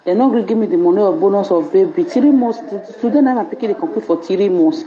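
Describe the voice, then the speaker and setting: A woman's voice: medium pitch, quick pace, dark tone, highs cut off. A Nigerian woman calling in to a live show, pleading and complaining as she lays out her troubles. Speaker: caller.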